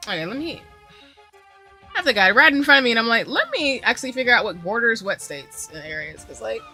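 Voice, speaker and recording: A woman's voice: a little high, sing-song, quick pace, bright, forceful tone, soft background music. A young adult woman streaming a game, rambling casually to her viewers with lots of asides and fillers. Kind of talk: casual chat with stream viewers while gaming.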